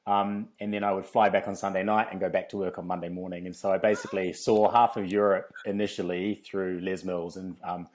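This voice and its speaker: A man's voice: low voice, flat delivery, fairly quick, hardly any pauses, darkish tone. A man with a New Zealand accent, telling his story casually and chattily as an interview guest. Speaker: podcast guest, fitness company founder.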